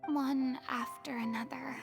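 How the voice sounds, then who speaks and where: A remorseful young woman in a video game, soft and wistful, who often drops into a half-whisper. Her voice is high, with gravelly edges, and faint music plays beneath it. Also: steady tone, unhurried. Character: remorseful young woman, former goddess pretender.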